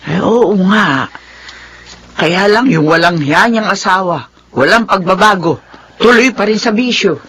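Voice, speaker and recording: An actress playing a caring but disapproving elderly mother with a husky, raspy voice in a radio drama. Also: medium pitch, lively intonation, unhurried, a bit bright.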